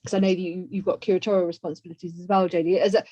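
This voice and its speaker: Echoey, muffled low-voiced woman hosting a webinar. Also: level tone, racing, dark tone. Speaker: webinar host. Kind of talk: conversational webinar discussion. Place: indoor room on a video call. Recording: muffled, echoey video call audio.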